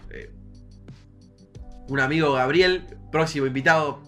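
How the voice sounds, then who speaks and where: Bright-voiced young Argentine man, informal. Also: a little high, unhurried, soft background music. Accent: Argentine Spanish. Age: young adult. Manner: informal, slangy, repeats phrases. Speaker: podcast co-host. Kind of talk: casual chat.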